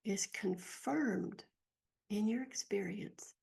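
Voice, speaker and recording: A woman guides a meditation in a soft, husky voice with a mellow tone and a touch of creak. Her delivery is gentle and unhurried, leaving long pauses between short phrases. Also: medium pitch.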